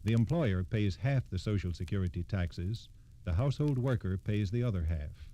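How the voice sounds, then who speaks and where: A man's voice: fairly low pitch, animated, normal speed, warm tone. An American man reading scripted old-time radio narration as a storyteller, with a formal, factual delivery.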